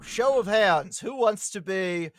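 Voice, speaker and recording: A woman chats casually while directing a group table read on a video call. Her mid-pitched voice is very animated, and the sound is dry. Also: takes it slowly, no echo. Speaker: organiser of the table read, assigning parts.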